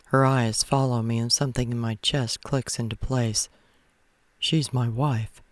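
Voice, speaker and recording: A man's voice: medium pitch, steady tone, unhurried, dry recording. Guy narrating a romance novel in first person, mid voice, dry recording. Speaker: audiobook-style narrator.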